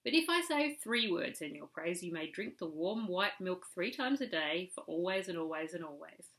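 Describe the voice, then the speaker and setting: A woman voicing a shrewd, firm cave woman in a children's story, brisk and commanding with a teasing edge. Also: on the low side, animated, a bit bright. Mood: confident bargaining.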